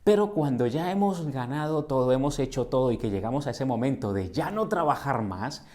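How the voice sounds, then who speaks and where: A man's voice: mid-range voice, lively intonation, fairly quick, darkish tone. A middle-aged man from Colombia with a Colombian Spanish accent, preaching a sermon in a church in an earnest, questioning way that builds to emphatic repetitions. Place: church sanctuary.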